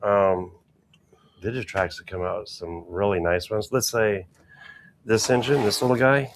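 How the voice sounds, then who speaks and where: A man with a very deep, gravelly voice chats casually, greeting viewers as he reads their comments. Faint background noise sits under his speech. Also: unhurried. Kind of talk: casual hobby chat livestream.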